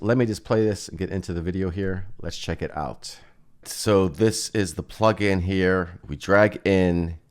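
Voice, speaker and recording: A man giving a casual software walkthrough as presenter, thinking aloud in an informal way. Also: deep voice, clear, resonant tone. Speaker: presenter demonstrating music software.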